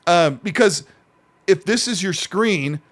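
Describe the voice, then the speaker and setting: A man's voice: high-pitched, lively intonation, slow pace, a bit bright, no echo. A man co-hosting a casual gaming podcast, rambling with fillers and restarts. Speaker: podcast co-host.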